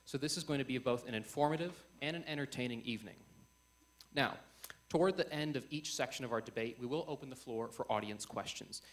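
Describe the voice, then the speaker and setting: A man's voice: mid-range voice, fairly bright tone. Young man, event host, formal and emphatic stage introduction on a microphone. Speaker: host of a student election debate. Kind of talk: formal speech introducing a debate. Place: on stage before a live audience.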